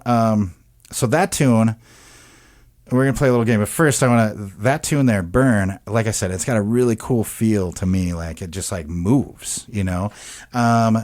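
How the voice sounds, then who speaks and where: A man hosting a radio interview in a studio, asking questions in a laid-back, halting way. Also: on the low side, darkish tone. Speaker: radio host.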